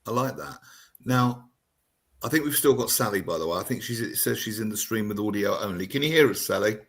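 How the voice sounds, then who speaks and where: A lowish, husky man's voice, chatty and self-deprecating as he hosts a casual talk show. He sounds older and tells his stories with an easy, storytelling looseness. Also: brisk, a bit bright. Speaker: radio show host. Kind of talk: casual chat.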